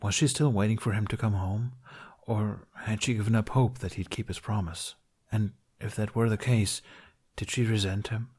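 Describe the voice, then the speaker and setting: A man's voice: fairly low pitch, steady tone, moderate pace, darkish tone, slightly rough. A man reading a fantasy story aloud as a scripted audiobook-style narration. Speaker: podfic narrator reading fan fiction.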